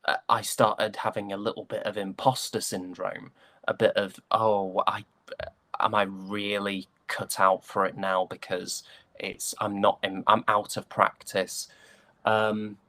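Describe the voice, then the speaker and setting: A young man with a British accent speaks in a low voice, close and dry. He answers conversationally as an interviewee, thoughtful and hesitant, feeling his way toward each point. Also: sharp tone, no echo. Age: young adult. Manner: thoughtful, hesitant, searching for words. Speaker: stage actor being interviewed.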